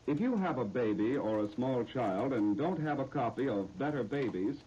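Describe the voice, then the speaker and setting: A man's voice: mid-range voice, lively intonation, moderate pace, dark tone, breathy edge. An American man working as a 1930s radio announcer, reading sponsor copy in a formal, persuasive way. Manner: formal, persuasive salesman phrasing. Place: radio studio. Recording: dull, noisy 1930s radio disc recording.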